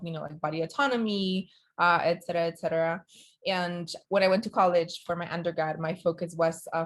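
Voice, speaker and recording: A woman from Peru speaks as a guest at an online talk, her voice sitting a little low for a woman. She talks in a loose, searching way, trailing off and correcting herself as she answers audience questions. Her voice comes through a computer mic on a video call. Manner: conversational and searching, many fillers and self-corrections.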